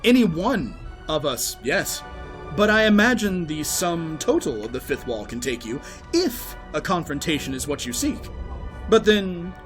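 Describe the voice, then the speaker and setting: A man playing a shrewd, coolly ruthless schemer in an audio drama, with a polite, measured and sardonic manner. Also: fairly high pitch, big pitch swings, a bit bright, soft background music. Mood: smoothly courteous.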